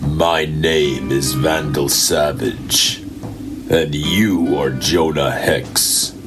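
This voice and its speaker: A man playing a commanding, cultured immortal villain in an audio drama, speaking formally and haughtily with measured menace. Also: very deep voice, fairly even intonation, over music, heavily acted. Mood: smug, composed.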